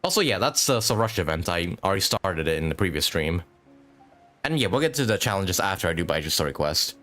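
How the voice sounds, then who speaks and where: A man giving casual, halting and rambling live commentary while playing a video game. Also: deep voice, very animated, fairly bright tone. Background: video game music.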